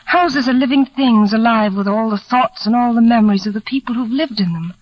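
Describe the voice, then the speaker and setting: An actress playing a shy, fearful, devoted young bride, wistful and emotional, in a 1940s radio drama. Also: female voice, on the high side, fairly quick, lightly acted. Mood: afraid. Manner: wistful, hushed, emotional delivery. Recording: dull old 1940s radio recording with music.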